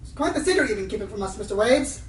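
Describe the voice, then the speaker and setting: A woman's voice: medium pitch, expressive, slight background noise, lightly acted. A woman voicing a pompous, condescending veteran pony news reporter in an amateur radio play, heard on a roomy, distant mic. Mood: cocky, condescending. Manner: pompous, fast-talking, dismissive. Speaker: voice actor in an audio drama. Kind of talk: fan radio play acting.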